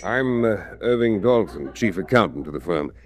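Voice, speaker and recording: Male, deep: actor as officious accountant, halting and guardedly polite, old radio drama. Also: lively intonation, natural voice. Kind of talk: 1940s radio drama acting.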